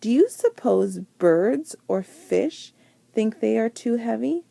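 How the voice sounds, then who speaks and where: A woman's voice: a little high, expressive, slow pace, gravelly, warm tone, dry recording, full character voice. A woman voicing an encouraging, patient male swimming teacher in a storybook, coaxing and reassuring with emphatic delivery. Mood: coaxing, instructive.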